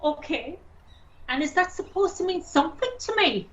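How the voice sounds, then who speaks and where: A woman's voice: very high-pitched, lively intonation, normal speed, lo-fi sound. A woman playing a curt, unbudging stable worker in a radio play, firm and unimpressed.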